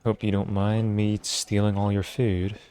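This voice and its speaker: A man with a slightly low voice commentates casually on a game stream. He is slangy and joking, with game music behind him. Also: little pitch movement, unhurried, dark tone, clean tone. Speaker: live streamer. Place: live stream over game audio.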